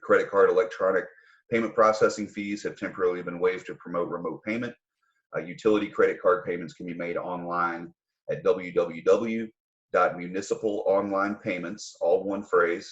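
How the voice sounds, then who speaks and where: Male civic official, deep register, measured read-aloud public notice, slightly muffled recording. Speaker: mayor giving a public address. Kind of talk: formal public announcement read from prepared notes.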